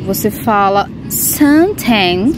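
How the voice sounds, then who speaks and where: Young brazilian woman w/ accent, slow careful teaching, loud wind and traffic behind her phone mic. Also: mid-range voice, lively intonation. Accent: Brazilian Portuguese. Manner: friendly, switches between Portuguese and English with fillers. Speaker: language teacher. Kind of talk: casual bilingual vocabulary lesson. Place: outdoors on a sunny beach. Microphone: phone held at arm's length.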